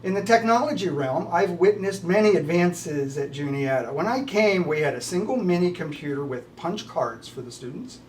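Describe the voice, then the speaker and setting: A man's voice: a little high, expressive, moderate pace, hardly any pauses. An older man, a retiring college professor, giving prepared farewell remarks. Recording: distant mic with slight background noise.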